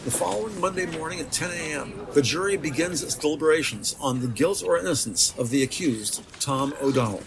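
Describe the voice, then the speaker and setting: A man's voice: a little high, lively intonation, a little fast, hardly any pauses, noisy background. A man narrating an audio drama from a script in a descriptive, theatrical storytelling manner. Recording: music behind the voice.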